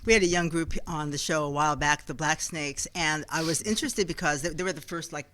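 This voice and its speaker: A woman with a deep, almost baritone voice hosting a radio talk show, chatting with guests and telling anecdotes. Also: a little fast, a bit bright. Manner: chatty, tells anecdotes with acted quotes. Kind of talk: casual interview chat.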